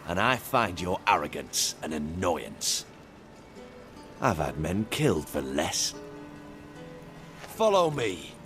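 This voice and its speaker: A cold and imperious game king, with a low voice that swings widely in pitch. He speaks formally and slowly, as someone used to being obeyed. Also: very animated, over music, full character voice. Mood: menacing, imperious. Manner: haughty, commanding, formal. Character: cold, imperious, cruel king of a fantasy realm. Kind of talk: dramatic video game voice acting.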